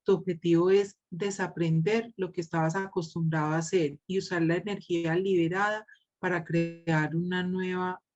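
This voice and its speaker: A woman's voice: lowish voice, dull audio. A woman in a reading group casually sharing an anecdote, chatty and laughing easily. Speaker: reading club participant.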